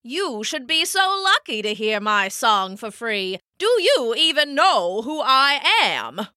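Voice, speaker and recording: A cocky little male grasshopper showman from a bedtime storybook, crooning bragging rhymes in a lively, singsong voice, as a woman narrator puts him on. He sounds bright and close to the mic, with a dry, unechoing sound. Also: on the high side, slow, no room sound, heavily acted. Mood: smug. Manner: singsong, rhyming, taunting. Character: boastful male grasshopper singer, smug showman.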